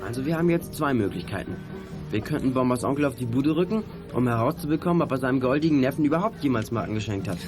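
A man's voice: mid-range voice, lively intonation, fast talker, close to an everyday voice. A man playing a clever, eager teenage boy detective in a 1980s youth radio play, speaking in a matter-of-fact way. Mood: probing. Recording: music under studio radio play dialogue. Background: music.

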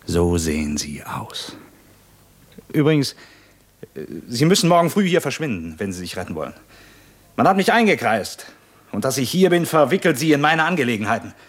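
An actor in a 1950s German radio drama playing a hunted, bitter, proud revolutionary commander with an intense, rhetorical delivery. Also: male voice, mid-range voice, big pitch swings, moderate pace, pauses often, husky. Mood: tense, curt. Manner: intense, rhetorical, abrupt shifts between hushed and emphatic.

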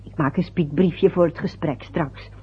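Actress doing a nervy chatty mom, husky breathy voice, old muffled radio play. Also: female voice, deep voice, normal speed. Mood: fretful. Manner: fretful, eager to please, chatty. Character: anxious fussy middle-aged mother. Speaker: mother.

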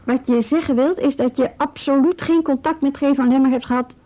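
High-voiced, mellow policewoman played by an actress. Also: steady tone, natural voice, rough recording. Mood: measured, probing. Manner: measured, businesslike questioning. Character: calm, probing policewoman of the juvenile bureau. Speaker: police officer questioning a youth. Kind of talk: radio drama acting.

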